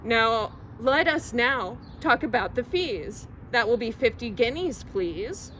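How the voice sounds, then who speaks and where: A woman voicing a gleeful, brisk, money-minded male dentist in a poem, with emphatic delivery, recorded outdoors. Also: on the high side, animated, fairly bright tone. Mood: businesslike. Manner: gloating, emphatic exclamations. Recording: outdoor recording with faint traffic noise.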